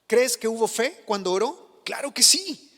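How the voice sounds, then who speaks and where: High-voiced pastor preaching in a hall. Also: male voice, lively intonation, unhurried, pushed and bright. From Chile. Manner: passionate preaching, rising on questions and dropping low for emphasis. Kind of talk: sermon. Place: church.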